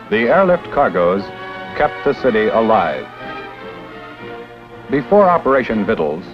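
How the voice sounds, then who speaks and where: Man, newsreel narrator, declamatory and proud, animated delivery, dull old soundtrack with loud music under him. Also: mid-range voice. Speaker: documentary narrator. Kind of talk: scripted 1950s newsreel narration.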